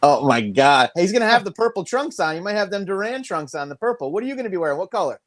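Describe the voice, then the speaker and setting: A man's voice: high-pitched, big pitch swings, quick pace, dry recording. A man hosting a casual interview, enthusiastic and hyping his guest, with loose grammar. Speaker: interview host.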